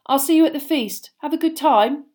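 A woman's voice: high voice, moderate pace, voice pushed up, natural voice. A woman voicing a subdued, awkward teenage schoolboy in a storybook, hesitant and trying to sound casual. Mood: feigned casual.

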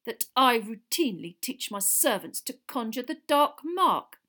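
A woman's voice: on the high side, very animated, measured pace, pushed and bright, pitched above the performer's own voice, full character voice. Woman as a stern, coldly indignant senior official. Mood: cold anger. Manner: clipped, formal, cold anger.

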